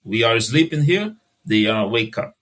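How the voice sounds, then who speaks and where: Brazilian man with halting non-native English. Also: medium pitch, sing-song, a bit bright. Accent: Brazilian Portuguese. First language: Portuguese. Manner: halting, restarts phrases, non-native grammar. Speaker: podcast guest, translator. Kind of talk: casual interview conversation.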